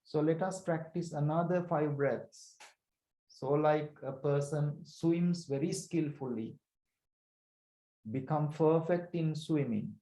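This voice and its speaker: A man leading a guided breathing meditation as a teacher, speaking calmly and deliberately in short phrases with pauses. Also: fairly high pitch. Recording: roomy echo.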